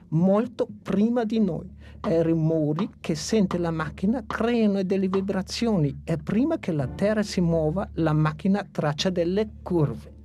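A woman's voice: mid-range voice, warm tone, close to an everyday voice. A woman voicing a fussy old male dinosaur teacher and inventor in a dubbed cartoon, patient and lecturing. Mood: explaining.

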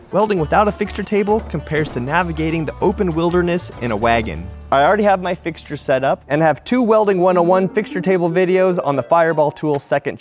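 A man in his forties teaching metal fabrication in a large workshop, explaining each step plainly and often asking questions aloud. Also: on the high side. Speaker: welding and fabrication instructor. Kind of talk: shop demonstration with voice-over.

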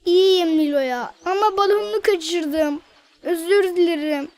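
A woman's voice: extremely high pitch, unhurried, a little mellow. A woman voicing a friendly, teasing little boy in a children's cartoon, with eager, simple childlike speech. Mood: apologetic.

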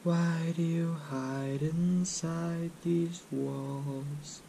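Male amateur as a menacing animatronic, hushed and sinister, dark tone, unhurried. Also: a little high, fairly even intonation, vocal fry, a little hiss or hum, pitched above the performer's own voice, full character voice. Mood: eerie, accusing. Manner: whispery, drawn-out, sinister. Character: slow, menacing, accusing horror animatronic.

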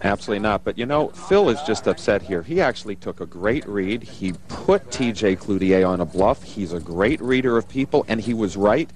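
Man, lowish voice, television color commentary, poker analyst. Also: expressive, normal speed, few pauses, darkish tone.

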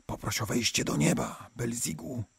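A gentle, insistent saint from a storybook voiced by a man. He coaxes in a hushed, husky voice, recorded dry. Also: medium pitch, moderate pace, no echo, exaggerated voice. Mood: coaxing. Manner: hushed, coaxing. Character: persuasive, gentle, insistent Saint Peter, male.

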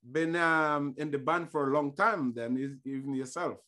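A man's voice: fairly high pitch, moderate pace, sharp tone. A young adult man hosting a podcast, chatting casually with a guest over a video call in a friendly, talkative way. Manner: friendly, chatty, lots of "you know what I mean". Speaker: podcast host. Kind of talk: casual interview chat. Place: home room with a banner behind him.

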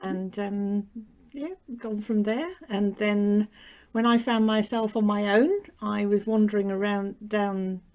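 A woman's voice: medium pitch, fairly even intonation, slow, a little mellow. A middle-aged woman from England, with an English accent touched by New Zealand, chatting as a guest over a video call and laughing often. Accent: English with some New Zealand influence. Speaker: guest, quilt shop owner and designer. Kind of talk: casual interview chat. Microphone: video call webcam.

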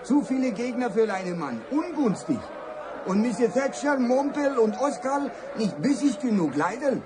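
A man's voice: very high-pitched, big pitch swings, moderate pace, crowd noise, noisy background. An actor playing a dignified, formally polite Chinese man with a Chinese accent and stilted, clipped phrasing in a satirical radio play. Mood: stoic, polite. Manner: stilted clipped phrasing, speaks of himself in third person.

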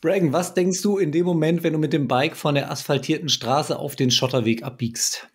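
A man in his thirties hosts a podcast from home over a video call. His voice is a bit husky, and he teases his guest and drives the questions. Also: a little high, brisk. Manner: lively, joking, leads the questions. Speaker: podcast host. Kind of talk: casual chat.

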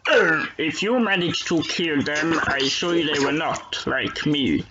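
A man's voice: a little high, lively intonation. A man voicing a suave, condescending spy with theatrical delivery in an amateur video game dub. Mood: haughty. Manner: theatrical, haughty, measured. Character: suave, condescending, dramatic male spy in a suit. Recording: muffled lo-fi dub, loud crowd noise.

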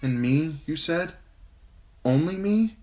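A man's voice: fairly high pitch, lively intonation, slow, darkish tone, voice pushed up. A man reading an audiobook, voicing a proud young queen, commanding and cold when she gives orders. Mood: distraught. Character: young queen, proud, frightened but defiant woman.

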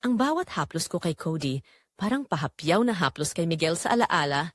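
A woman's voice: on the low side, big pitch swings, normal speed, no room sound, clean studio sound. A young woman with a Filipino accent narrating a scripted first-person romance story in an emotive, reflective way.

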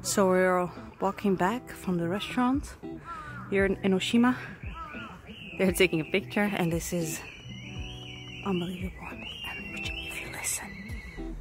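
A woman's voice: fairly low pitch, huge pitch swings, measured pace, pauses often, music in the background. A young Dutch-speaking woman from the Netherlands vlogging enthusiastically outdoors on a handheld camera. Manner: chatty, enthusiastic, talking while walking outdoors. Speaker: travel vlogger. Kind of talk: casual travel vlog narration. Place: outdoors in parks and streets.

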